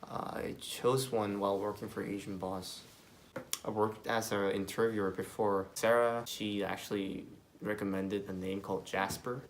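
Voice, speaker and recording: A man's voice: lowish voice, level tone, normal speed, slight background noise. A man, answering interview questions in an earnest, plain way. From Korea. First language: Korean. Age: 20s.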